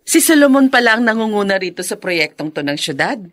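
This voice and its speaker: An actress playing a gossipy, frank Filipino woman friend in a radio drama, expressive and chiding. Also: mid-range voice, fairly quick, dry recording, soft background music, lightly acted. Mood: teasing, gossipy.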